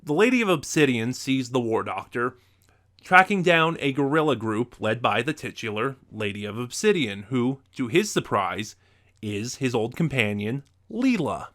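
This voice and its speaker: Bright-voiced man giving a review. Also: medium pitch, very animated, normal speed. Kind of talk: opinionated review to camera.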